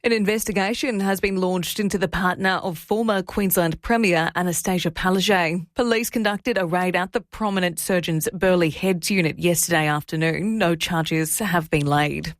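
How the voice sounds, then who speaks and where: Female Australian radio newsreader, scripted delivery, lowish voice, dry acoustic. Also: steady tone, moderate pace, few pauses, dry recording. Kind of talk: scripted news bulletin. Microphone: close studio microphone.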